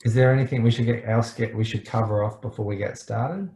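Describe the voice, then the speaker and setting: A man's voice: on the low side, fast, mellow. A man with an Australian accent giving an informal online presentation as a webinar guest, speaking casually with a slight tendency to mumble. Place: indoor room, online video call. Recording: roomy, echoey sound.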